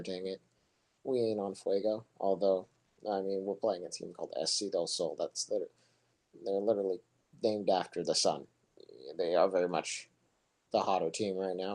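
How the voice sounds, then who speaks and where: A young man giving relaxed, rambling live play-by-play for a soccer match, his voice low and monotone, with chatty asides between plays. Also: rough recording. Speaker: soccer commentator. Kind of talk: casual live play-by-play commentary.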